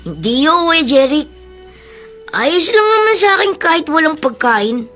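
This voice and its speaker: Small boy, Filipino accent, high childlike voice, cheeky but tender, radio drama, music behind. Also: sing-song, normal speed, fairly bright tone. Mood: wistful, sincere.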